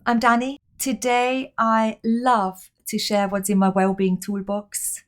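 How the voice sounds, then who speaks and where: A woman's voice: a little high, little pitch movement, slow pace. Woman telling her story. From Austria. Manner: open, reflective storytelling. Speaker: guest. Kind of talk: podcast interview, personal story.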